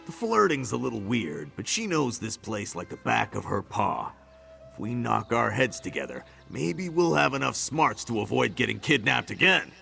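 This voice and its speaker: An actor voicing a gruff male orc mercenary in a video game, talking in a rough, wry, offhand way. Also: mid-range voice, very animated, normal speed, sharp tone, soft background music, full character voice. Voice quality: gravelly. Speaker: game character.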